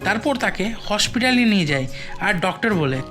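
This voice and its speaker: A woman's voice: mid-range voice, very animated, a little fast. A woman reading scripted storybook narration for a cartoon moral tale. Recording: music behind the voice.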